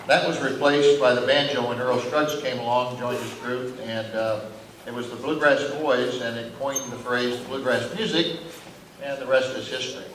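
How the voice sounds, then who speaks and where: A man who plays banjo, talking casually on stage as an interview guest and explaining things informally. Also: mid-range voice. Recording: echoey hall, distant noisy microphone.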